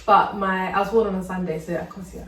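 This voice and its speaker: A young woman chatting with friends, talking rapidly. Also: medium pitch, fairly even intonation, a bit bright, echoey room, recorded from a distance. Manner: rapid-fire talk. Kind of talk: casual chat among friends.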